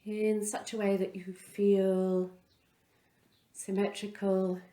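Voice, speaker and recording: A woman leading a guided relaxation as a yoga instructor, speaking in an unhurried, soothing way with pauses between phrases. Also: medium pitch, level tone, warm tone, echoey room.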